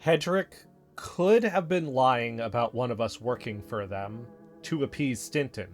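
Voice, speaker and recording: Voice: medium pitch, animated, measured pace. A voice in scripted audio drama dialogue, wry and conspiratorial, lifting up when spinning a theory. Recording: music bed underneath.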